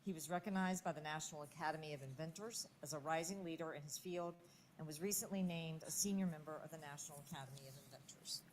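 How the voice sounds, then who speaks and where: Low-voiced woman introducing a speaker. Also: fast, very noisy. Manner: reads credentials with ums and restarts. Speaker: moderator.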